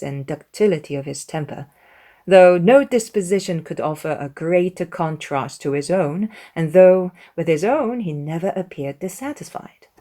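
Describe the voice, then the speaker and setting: Audiobook narrator: woman, somewhat low register, scripted reading of classic literary prose. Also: warm tone.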